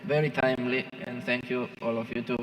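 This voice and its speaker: A man who is not a native English speaker, giving halting, impromptu remarks as a conference panelist. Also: mid-range voice, level tone. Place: large conference hall. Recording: echoing hall with long reverb.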